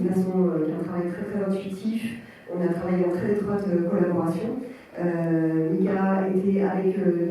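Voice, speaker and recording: A woman's voice: on the low side, warm tone, a little hiss or hum. A woman interpreting a director's answers into French at a cinema Q&A, with frequent hesitation fillers. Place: cinema auditorium. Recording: roomy hall, voice from a distance.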